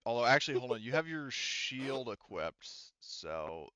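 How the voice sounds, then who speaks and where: A man's voice: medium pitch, very animated, measured pace, pushed and bright, no echo. A man speaking casually as a game master, narrating the action and explaining rules in an informal, mildly profane way.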